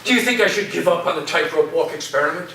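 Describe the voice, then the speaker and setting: A man's voice: fairly high pitch, quick pace, pushed and bright. A man playing an eccentric college professor in a staged play reading, formal, theatrical and earnest in delivery. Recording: echoey room from far off, noisy.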